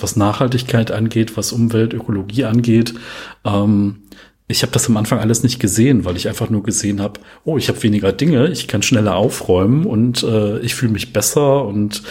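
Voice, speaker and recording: A man's voice: lowish voice, a little mellow, slightly rough. A young man hosting a podcast, chatting loosely with lots of fillers and rambling questions. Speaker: podcast host. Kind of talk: casual interview chat.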